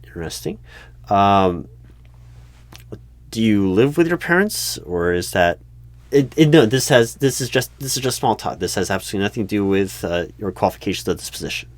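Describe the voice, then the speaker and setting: A flustered male recruiting manager, acted out by a man in a comedic audio roleplay. His voice sits on the low side and is recorded close and dry. He stammers and hesitates, staying awkwardly polite and oblivious as he conducts a job interview. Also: animated, normal speed, dry recording, natural voice. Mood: awkward, businesslike.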